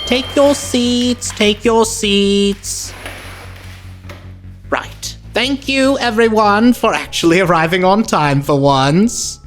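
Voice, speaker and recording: An actor playing a theatrical, exacting high school drama teacher, speaking in a drawn-out, emphatic way. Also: male voice, fairly bright tone. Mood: theatrical, brisk. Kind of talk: audio drama acting. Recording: music under the voice. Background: music.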